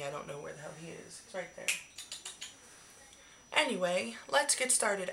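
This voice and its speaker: Hoarse young woman, fry-heavy low voice, chatty and profane, webcam in a small bedroom, faint hiss behind. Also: a bit bright. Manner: chatty, swears casually, rambling. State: hoarse, recovering from flu. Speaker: beauty vlogger. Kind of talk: casual monthly favorites chat. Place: small decorated bedroom, indoors. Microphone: webcam at arm's length.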